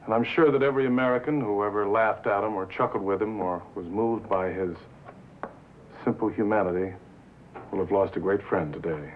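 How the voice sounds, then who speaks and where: A pious, insistent male broadcasting executive played by an actor in a 1950s film drama. His voice is on the low side, and his manner is formal and unctuous. The soundtrack is narrow and tinny, with faint noise underneath. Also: fairly quick, lightly acted. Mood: solemn, formal.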